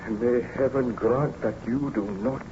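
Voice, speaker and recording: A man's voice: mid-range voice, fairly even intonation, a little slow, lightly acted. A man playing a tormented, guilt-ridden scientist nobleman in formal, theatrical old-time radio drama style. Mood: grave, confiding. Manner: grave, melodramatic, formal theatrical diction. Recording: dull, noisy old radio recording.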